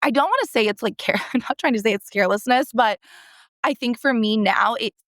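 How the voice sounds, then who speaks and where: A woman's voice: on the high side, a little fast, a bit bright, dry recording, studio quality. A woman in her early thirties with an American accent, talking casually as a therapist guest in a rambling, self-correcting way with emphatic repetition. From San Diego, California, United States. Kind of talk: casual conversational interview.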